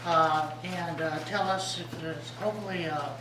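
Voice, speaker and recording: A man chairing a city council meeting, his voice weak after recent vocal cord surgery, talking haltingly with many fillers. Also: on the high side, fairly even intonation. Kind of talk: presiding over a public meeting. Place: council chamber. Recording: echoey room, distant mic, background noise.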